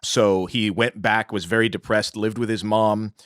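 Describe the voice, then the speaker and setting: Male podcast host, deeper voice, conversational explainer, very dry recording. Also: fairly even intonation, moderate pace, fairly bright tone, no room sound. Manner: explanatory, informal. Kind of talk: conversational podcast discussion, telling a researched story.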